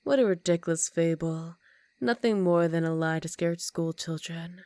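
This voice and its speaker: A woman's voice: on the low side, moderate pace, mellow, no room sound, lightly acted. A woman voicing a seductive, commanding vampire countess in an intimate ASMR roleplay, teasing and often dropping into whispers. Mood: mocking.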